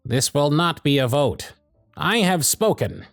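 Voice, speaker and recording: A man's voice: fairly high pitch, animated, unhurried, no echo, clean studio sound, soft background music. A man playing a proud, sardonic but protective supervisor in a scripted ASMR roleplay, with theatrical, exasperated phrasing. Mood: firm, confident. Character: proud, sardonic male workshop supervisor, protective of his intern. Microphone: close studio mic, dry.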